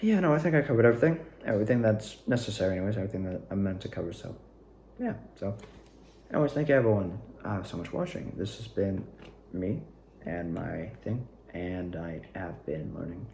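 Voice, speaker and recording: A man's voice: low-pitched, animated, brisk, creaky, warm tone, slight background noise. A young man reflecting casually and unscripted to his viewers, rambling hesitantly and often trailing off. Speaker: amateur actor and video maker. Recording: echoey room.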